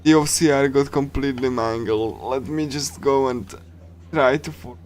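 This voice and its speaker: A man streaming a game, chatting casually and reading along in a rambling, halting way with repeated phrases. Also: medium pitch, a little mellow. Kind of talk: casual livestream chat while reading and translating a game.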